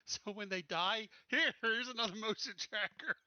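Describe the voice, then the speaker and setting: Man, tabletop player. Very forceful bright tone, casual delivery, dry and slightly lo-fi voice-chat sound. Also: high voice, moderate pace, dry recording.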